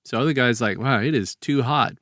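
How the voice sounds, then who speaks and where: A man's voice: medium pitch, normal speed, fairly bright tone, dry recording, clean studio sound. A man co-hosting a podcast, chatting and telling stories in a rambling, colloquial way. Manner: rambling, colloquial, acts out short quotes. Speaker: podcast co-host. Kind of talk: casual chat, informal storytelling.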